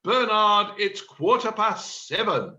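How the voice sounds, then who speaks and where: A man's voice: high-pitched, animated, takes it slowly, bright, forceful tone, lo-fi sound, full character voice. A man playing an exasperated, theatrical amateur stage director in a read-through of a comedy play. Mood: panicked, exasperated.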